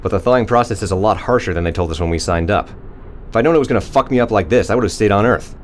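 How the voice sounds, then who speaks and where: A man's voice: lowish voice, quick pace, slight background noise, close to an everyday voice. An actor playing a bitter, injured crewman who talks bluntly and swears a lot. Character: bitter injured male crewman, foul-mouthed.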